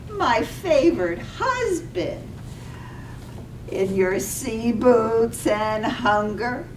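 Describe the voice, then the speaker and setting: Actress as plaintive dead sweetheart, radio-play reading, drawn-out phrasing, picked up distantly with faint noise. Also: female voice, full character voice. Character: dead sweetheart, tender, wistful ghost of a woman. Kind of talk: staged reading of a poetic radio drama. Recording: roomy, distant mic, faint rumbling noise.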